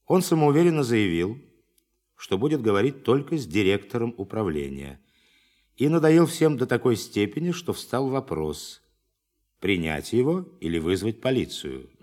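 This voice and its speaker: An actor playing a forceful science official who addresses a meeting formally and emphatically in a radio play. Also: male voice, medium pitch, sing-song, normal speed, long pauses, natural voice. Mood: grave, formal.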